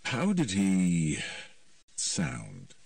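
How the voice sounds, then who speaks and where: A man's voice: low voice, wildly swooping pitch, extremely slow, vocal fry, a little mellow, dry recording, distant mic, full character voice. Narrator as a probing, thoughtful adult man. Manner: short, probing questions. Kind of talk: audiobook character dialogue.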